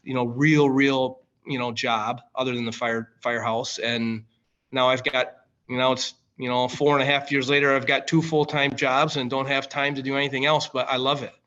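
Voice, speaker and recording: A man's voice: mid-range voice, flat delivery, normal speed, fairly bright tone, muffled recording. A man in his late forties with an American accent, telling stories casually and colloquially as a podcast guest. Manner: colloquial, familiar, calls listener man and brother. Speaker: podcast guest, firefighter and coffee company director. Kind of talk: casual conversational storytelling.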